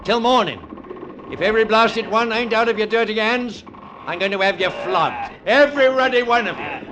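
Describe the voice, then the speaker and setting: A coarse, menacing first mate from a vintage radio play, with a working-class British accent. He sneers and threatens bluntly in a lively, swooping voice, on a noisy old recording. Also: sits high, normal speed. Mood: furious, threatening. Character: coarse, brutal, crafty first mate of a convict ship. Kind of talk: old-time radio acting.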